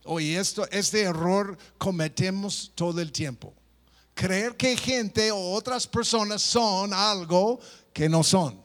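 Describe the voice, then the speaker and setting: A man's voice: sits high, slow, a bit bright. A middle-aged man preaching a sermon, playful, mimicking people, with stop-start phrasing. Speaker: pastor.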